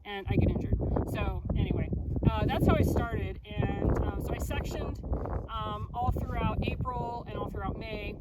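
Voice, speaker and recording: A woman's voice: mid-range voice, moderate pace. A woman in her forties, a hiker and teacher, chatting animatedly outdoors with frequent ums. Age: 40s. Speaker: long-distance hiker and teacher. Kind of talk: casual chat. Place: outdoors on a hiking trail. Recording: loud wind noise, distant from mic.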